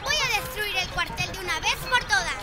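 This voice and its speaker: An actor voicing an excitable, bold cartoon child in Latin American Spanish. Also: very high voice, brisk, over a noisy crowd. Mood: scheming, boastful. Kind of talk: animated cartoon dub acting. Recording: loud music behind.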